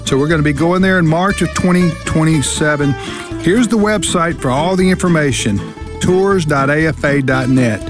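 A man delivering an upbeat, folksy promotional radio spot for group tours. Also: normal speed. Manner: upbeat, folksy sales pitch. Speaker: organization president in an ad. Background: guitar music.

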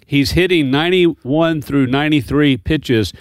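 Jokey man with a smooth, dry voice. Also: a little high, a little slow, dry recording. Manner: jokey, rambling storyteller. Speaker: radio show co-host. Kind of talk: casual radio banter.

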